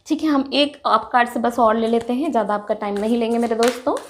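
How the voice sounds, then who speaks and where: A woman hosting a casual tarot reading with a sore throat, clearing her throat often and chatting excitedly to her viewers as friends. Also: on the high side, quick pace, slight background noise. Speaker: tarot reader, channel host.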